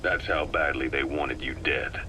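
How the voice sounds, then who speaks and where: An actor playing a composed, worldly military operative giving a measured briefing in a video game. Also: male voice, rumbling low, steady tone, noisy background. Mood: matter-of-fact. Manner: measured, matter-of-fact, explanatory.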